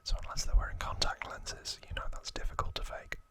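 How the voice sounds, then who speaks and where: A man whispering an ASMR recap in a breathy, unvoiced voice, explaining things in a hesitant, self-correcting way. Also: a little fast, pushed and bright. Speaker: host of an ASMR trivia channel.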